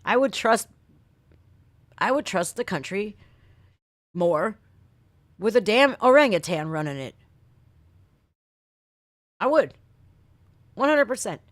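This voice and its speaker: A woman's voice: medium pitch, lively intonation, slow pace, pauses often, no echo. Female American livestream host. Off-the-cuff ranting, blunt folksy delivery with swearing, mid-range voice, very dry sound. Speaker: reaction video host. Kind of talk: casual livestream rant and chat.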